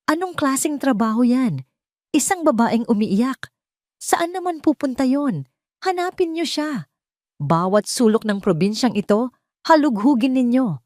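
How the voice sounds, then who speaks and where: A woman's voice: high voice, expressive, a little slow, long pauses, darkish tone, no echo, studio quality, voice pushed up. A woman voicing a cold, vengeful businessman who talks in curt, contemptuous commands in an audio drama. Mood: angry, demanding. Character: cold, vengeful, impatient businessman barking orders.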